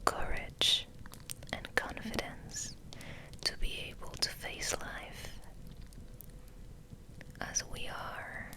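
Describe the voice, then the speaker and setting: A woman speaks in a breathy, unvoiced whisper as an ASMR host. Her delivery is very slow and gentle, with soothing affirmations and softly repeated words.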